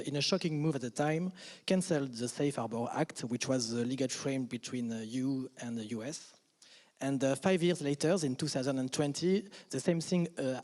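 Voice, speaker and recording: French-accented male interviewer, mid pitch, halting delivery. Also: a little mellow. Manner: hesitant, halting phrasing with fillers. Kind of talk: interview questions.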